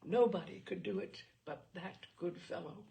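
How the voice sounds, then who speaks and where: Storybook naval captain, male role read by a woman: gravelly, earnest, grieving, devoted to his friends, speaking from the heart. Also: lowish voice, moderate pace, warm tone. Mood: subdued, moved.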